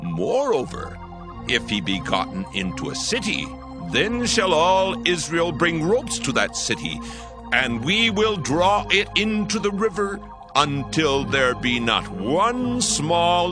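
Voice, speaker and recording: A persuasive ancient counsellor addressing royalty in a scripture drama. He speaks slowly and emphatically, with sweeping rises and falls of pitch. His oratory is theatrical and shrewd, set over clear music. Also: huge pitch swings, full character voice. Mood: rousing, forceful.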